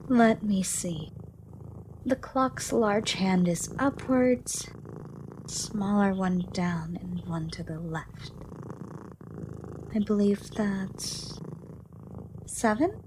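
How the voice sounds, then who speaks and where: A woman performs a female monster of the shadows, ancient, gentle and protective, in an ASMR roleplay. Her delivery is unhurried and formal, with a soft, dark, relaxed tone that soothes. Faint noise sits behind the voice. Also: medium pitch, lightly acted, low-quality recording. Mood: musing. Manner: slow, soft, formal, slightly archaic phrasing, soothing.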